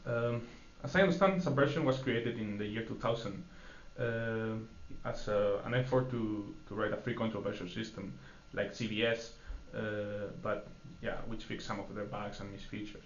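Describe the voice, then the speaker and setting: A man's voice: lowish voice, moderate pace, hardly any pauses. A man interviewer asking friendly questions, with fillers along the way. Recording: echoey room, dull lo-fi sound.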